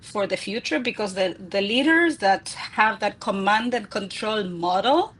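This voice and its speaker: Middle-aged Argentine woman, husky voice, keen interview guest who restarts words. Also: mid-range voice, lively intonation, unhurried, fairly bright tone. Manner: enthusiastic, repeats words as she restarts. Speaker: guest, executive coach and author. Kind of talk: interview answers.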